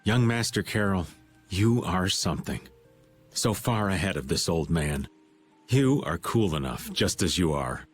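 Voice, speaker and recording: Older man, lowish voice, very animated, wry, teasing, world-weary, theatrical, game acting. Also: measured pace, faint music behind. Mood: teasing, kindly. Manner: teasing, theatrical, laid-back. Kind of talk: video game dub acting.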